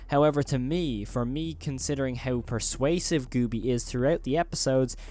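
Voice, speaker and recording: A man's voice: medium pitch, darkish tone. A man hosting a video in a commentary voice-over, talking in an emphatic, wordy way with repeated phrasing. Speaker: video host.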